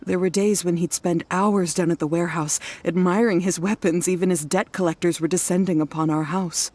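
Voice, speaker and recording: A woman playing a worried, weary wife and mother in a video game, explaining earnestly and in a measured way. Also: on the low side, steady tone, darkish tone, close to an everyday voice. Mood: distressed, exasperated.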